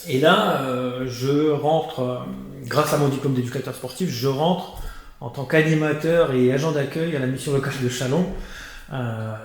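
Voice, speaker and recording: A man's voice: mid-range voice, moderate pace. Male guest, 30s, conversational storyteller, echoey room. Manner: animated storytelling with many restarts and fillers. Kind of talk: conversational life-story interview.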